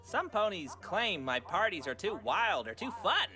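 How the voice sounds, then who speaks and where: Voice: mid-range voice, very animated, moderate pace, loud music behind the voice, close to an everyday voice. A performer voicing a cheerful, eager male party pony, unfazed by murder, with an upbeat, theatrical delivery in a fan fiction drama. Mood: amused, proud.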